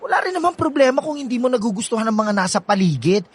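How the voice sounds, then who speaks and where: A woman's voice: fairly high pitch, very animated, fast talker, slightly rough, no echo. A woman with a Filipino accent playing a campy, teasing, easygoing sibling in a radio drama, theatrical and playful. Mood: exasperated, soothing.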